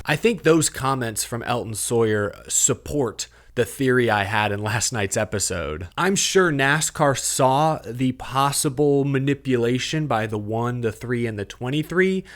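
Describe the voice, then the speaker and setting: An American man delivers a scripted sports news recap as a show host. His pitch sits in the middle for a man. He explains points clearly and tells events with emphasis. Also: expressive, measured pace, few pauses.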